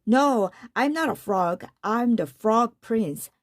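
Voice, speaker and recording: A woman's voice: on the high side, expressive, takes it slowly. A woman voicing a polite, earnest young storybook prince with a slightly flustered manner. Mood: pleading, flustered.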